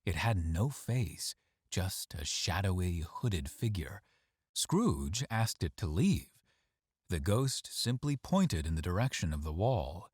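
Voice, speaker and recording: A man narrates a story in a low, husky voice. His intonation swings widely, with dramatic and measured phrasing. The sound is dry and close, with no room around it. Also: darkish tone, no room sound. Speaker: story reader.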